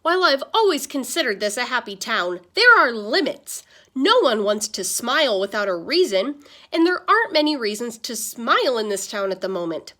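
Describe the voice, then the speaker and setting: A woman's voice: on the high side, very animated, moderate pace, pushed and bright, voice pushed up. A woman voicing an earnest male small-town pastor making an emphatic speech to a crowd in a storybook. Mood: earnest, firm.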